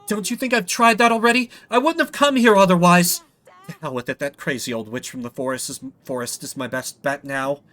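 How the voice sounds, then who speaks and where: A man's voice: high voice, a little fast, pushed and bright, voice pushed up. A man voicing a desperate, worried villager in a video game, pleading and anxious. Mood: exasperated.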